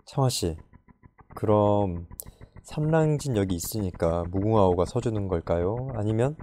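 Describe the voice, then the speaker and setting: A man reading a storybook, voicing a gentle, curious young woman who speaks softly and asks many questions. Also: fairly low pitch, animated, normal speed, natural voice. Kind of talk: audiobook character voice.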